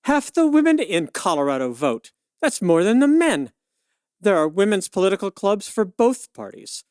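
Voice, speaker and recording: A woman's voice: mid-range voice, big pitch swings, moderate pace, darkish tone, smooth, dry recording, natural voice. A woman acts out a suffragist lawyer from 1911 in a radio play. She debates in an articulate, indignant voice, firm and exact in her arguments. The recording is close and dry. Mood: firm, assertive.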